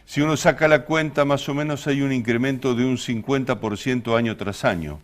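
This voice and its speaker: A man's voice: mid-range voice, fairly quick. A man with an Argentine accent hosting an interview in a conversational, roundabout way. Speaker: interview host.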